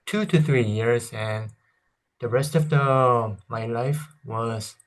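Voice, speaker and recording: A man's voice: mid-range voice, takes it slowly. A man in his thirties with a Korean accent, speaking English as a second language and rambling casually through a console review, often hesitating and correcting himself. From South Korea. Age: 30s. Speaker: vlogger.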